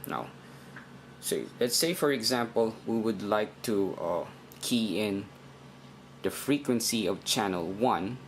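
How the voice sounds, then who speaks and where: Slow, low-pitched man explaining step by step. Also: expressive, slight background noise. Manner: halting, deliberate, repeats himself. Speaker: instructor in a how-to video. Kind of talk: informal step-by-step tutorial.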